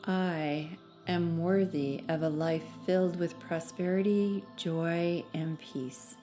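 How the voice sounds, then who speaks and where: A woman narrating a guided meditation in a soothing, measured manner with long pauses between phrases. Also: lowish voice, steady tone, dark tone, faint music behind.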